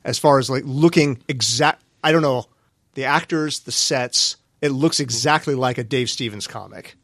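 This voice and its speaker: A man's voice: mid-range voice, normal speed, fairly bright tone, no echo, clean studio sound. A man earnestly giving his pick in a casual podcast chat, with some hesitant fillers. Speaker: podcast co-host.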